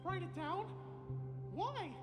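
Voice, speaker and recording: A woman's voice: extremely high pitch, animated, takes it slowly, exaggerated voice. A woman voicing a childlike, cheerful and devoted cartoon clock companion in a video game. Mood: gentle, bright wonder. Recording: long hall reverb, loud game music.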